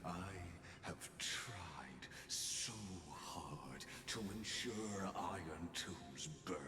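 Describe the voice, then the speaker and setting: An actor playing a grave ancient scholarly villain in a video game, solemn, theatrical and coldly bitter. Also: male voice, sits low, animated, extremely slow, heavily acted. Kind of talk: scripted video game voice acting. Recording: echoey long reverb under game music.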